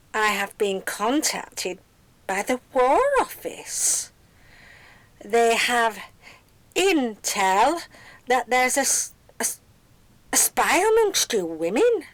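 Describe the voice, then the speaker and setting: A woman playing a hesitant, nervous 1940s announcer who haltingly reads out a formal factory letter in a radio play. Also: fairly high pitch, a bit bright, dry recording, exaggerated voice. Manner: hesitant, stammering, deliberate.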